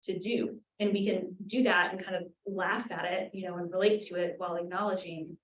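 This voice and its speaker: A woman's voice: on the low side, steady tone, a little fast, fairly bright tone, slight background noise. Woman talking from across a roomy space. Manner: thoughtful, many fillers like "um" and "like". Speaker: graduate language instructor. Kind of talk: roundtable discussion. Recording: roomy, from far off.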